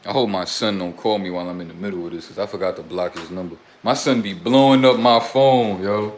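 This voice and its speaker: A man's voice: deep voice, a little fast, slight background noise. A young man casually livestreaming to his chat, talking in loose streetwise slang, blunt and preachy, rambling from one topic to the next. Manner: rambling, drifts between topics. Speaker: self-styled life coach.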